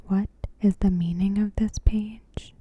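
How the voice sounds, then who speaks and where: A woman ASMR host whispering a scripted recap in a breathy, gentle and deliberate way. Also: medium pitch, fairly even intonation, dull audio.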